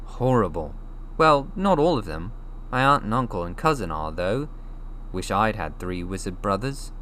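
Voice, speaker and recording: A man voicing a modest, earnest young orphan boy who is new to magic, in an animated storybook reading. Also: fairly low pitch, normal speed, slight background noise. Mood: rueful.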